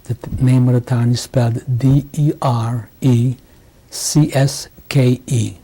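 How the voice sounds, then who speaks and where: A man in his sixties from Hungary, giving oral history testimony in a halting way, with many restarts and pauses. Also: mid-range voice, fairly even intonation, mellow, breathy edge. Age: 60s. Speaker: interviewee. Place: home interview.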